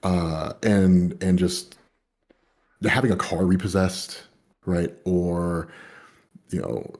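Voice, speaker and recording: A man's voice: sits low, a little mellow. A man in casual conversation, rambling with lots of fillers and the odd swear. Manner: rambling, many fillers and "you know".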